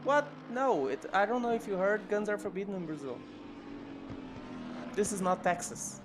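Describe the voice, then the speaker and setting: A playful co-commentator banters through a sim race broadcast, laughing often and swinging the voice widely up and down. A steady, clearly audible noise sits under the speech. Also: medium pitch, moderate pace. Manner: playful, laughs often, lively swooping exclamations. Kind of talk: casual live race commentary.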